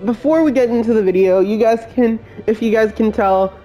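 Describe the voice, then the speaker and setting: A man's voice: sits high, warm tone, highs cut off, soft background music. A man with a cold and a congested voice, hosting a step-by-step game build tutorial as a voice-over. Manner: slow, plain instruction-giving voice-over.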